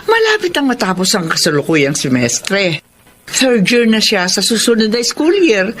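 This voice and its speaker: Animated Filipino mother in a radio drama. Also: mid-range voice, normal speed, slight background noise. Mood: coaxing, advising. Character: doting middle-aged mother, eager to become a grandmother.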